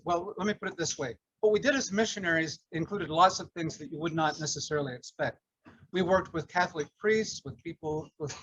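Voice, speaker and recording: Older man, earnest, somewhat high voice. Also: fairly bright tone, no room sound, lo-fi sound. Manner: earnest, measured, with many fillers. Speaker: retired English professor, class teacher. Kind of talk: casual class introduction.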